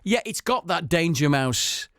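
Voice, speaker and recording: A man's voice: a little high, lively intonation, unhurried, a bit bright, dry recording, studio quality. A man hosting a casual, chummy radio interview. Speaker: radio host. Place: radio studio.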